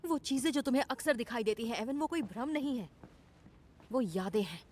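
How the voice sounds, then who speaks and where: A woman's voice: fairly high pitch, expressive, brisk, darkish tone. A woman dubbing an insistent, mysterious woman who speaks earnestly of past lives in a fan-made action film dub. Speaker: dubbing actor.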